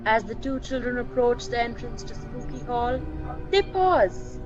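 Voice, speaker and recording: A woman narrating a scripted radio play in a measured, atmospheric, dramatic storytelling manner. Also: fairly high pitch, expressive. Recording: dull lo-fi sound with music.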